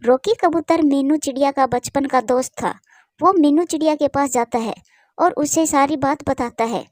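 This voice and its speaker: High-pitched woman narrating a cartoon story. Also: moderate pace, a little mellow.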